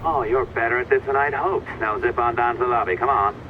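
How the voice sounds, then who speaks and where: A man's voice: mid-range voice, steady tone, quick pace, phone-quality sound, over music, lightly acted. A man playing a glib, teasing office hacker in a video game, chatting casually with sly condescension. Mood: flippant, teasing. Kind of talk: scripted casual game dialogue.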